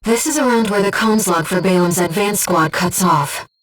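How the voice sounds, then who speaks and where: A woman voicing an earnest, gentle guide who speaks inside a pilot's head in a mecha video game, in measured scripted delivery. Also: mid-range voice, recorded from a distance, natural voice. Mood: calm, focused. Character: soft-spoken, earnest female voice in a pilot's head, loyal guide. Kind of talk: scripted video game voice acting, tactical callouts.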